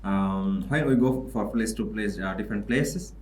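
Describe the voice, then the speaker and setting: A man's voice: fairly low pitch, normal speed, a little mellow, roomy sound. A man from Bangladesh, an international student, chatting casually on a podcast. He talks hesitantly, with many fillers and restarts.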